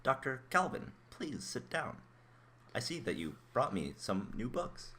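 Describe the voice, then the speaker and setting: A man acting as a mind-reading robot in a radio play, calm and blunt, with a measured, formal delivery. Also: medium pitch, noisy background. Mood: calm, matter-of-fact. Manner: measured, matter-of-fact, formal. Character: mind-reading male robot, calm, blunt, curious about human emotions.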